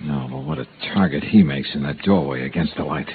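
A man's voice: a bass voice, a little fast, husky, heavily acted. An actor playing a cool, wry male insurance investigator in a clipped 1950s radio drama style. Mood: tense, wry. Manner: clipped, wry, hardboiled delivery. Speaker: series star, lead actor and narrator. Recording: muffled old-time radio recording with music.

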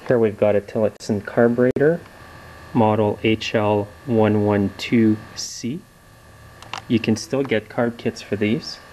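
A young man hosting a casual show-and-tell video in his garage workshop, explaining in a relaxed, plain-spoken way. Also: fairly low pitch, slight background noise, low-quality recording. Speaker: video host. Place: home garage workshop, indoors.

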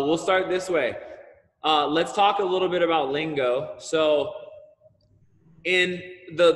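A man's voice: a little high, fairly bright tone. A man coaching volleyball over a video call, explaining the session plan in a casual, filler-heavy way. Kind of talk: online coaching seminar. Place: large indoor gym. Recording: long gym reverb.

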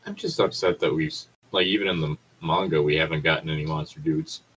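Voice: a bass voice, fairly even intonation, fast talker, fairly bright tone, a little hiss or hum, low-quality recording. A person chatting casually and bantering with friends as co-host of a fan fiction reading.

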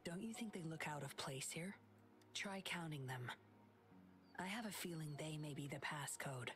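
An actress playing a cool, secretive young female agent in a video game, breathy, measured and self-assured. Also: low voice, lively intonation, a bit bright. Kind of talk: scripted game dialogue. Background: game music.

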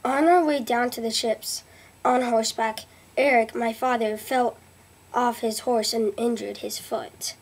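A woman's voice: a little high, fairly even intonation. A young girl playing Leif Erikson, viking explorer. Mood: earnest. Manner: reads haltingly with restarts. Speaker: student presenter. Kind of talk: school history report in character. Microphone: webcam at close range.

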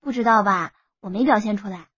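A woman voicing a shy, stubborn young college girl in an audiobook, soft and understated. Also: mid-range voice, fairly quick, slightly rough, no echo, muffled recording. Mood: shyly secretive. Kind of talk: audiobook character acting.

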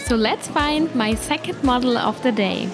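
A woman's voice: a little high, normal speed. A woman with a German accent, a beauty photographer, talking to strangers on a city street and narrating in an upbeat, friendly way. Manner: upbeat, laughs often. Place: busy city square outdoors. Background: music and crowd.

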